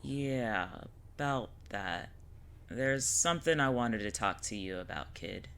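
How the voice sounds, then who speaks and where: A woman's voice: extremely low pitch, animated, measured pace, a bit bright, heavily acted. A woman playing a wry, teasing older witch mentor in an audio drama, talking in a casual, slangy way. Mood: serious, explaining. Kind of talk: scripted audio drama acting.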